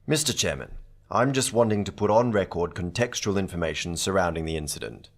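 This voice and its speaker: A courteous government lawyer acted by a man makes a formal address to an inquiry. The voice is fairly low, in a radio drama style. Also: fairly quick. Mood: formal, measured. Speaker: government counsel. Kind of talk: radio play acting.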